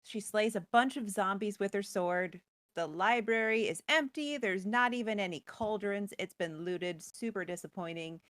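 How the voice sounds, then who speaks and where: A woman's voice: mid-range voice, moderate pace, a bit bright, dry recording. A woman co-hosting a storytelling show, recapping a tale casually with wry, playful asides. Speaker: co-host storyteller.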